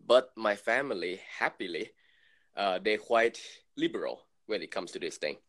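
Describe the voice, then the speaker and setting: A man in his late twenties, from Thailand, co-hosting a podcast in relaxed chat full of fillers and laughs. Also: fairly low pitch, moderate pace. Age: 20s. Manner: loose, laughing, lots of fillers. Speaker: podcast co-host. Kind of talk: casual chat.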